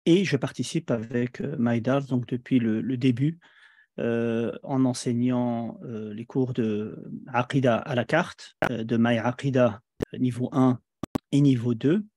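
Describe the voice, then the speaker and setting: Man, imam teacher from Belgium, warm dark tone, dry close sound, halting delivery. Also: medium pitch, fairly even intonation, no room sound. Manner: measured, hesitant with fillers. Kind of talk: online presentation.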